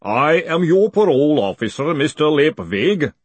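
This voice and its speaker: A man voicing a huge, cheerful golem in a booming, formal, politely relentless manner for a storybook. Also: big pitch swings, normal speed, breathy edge, dry recording.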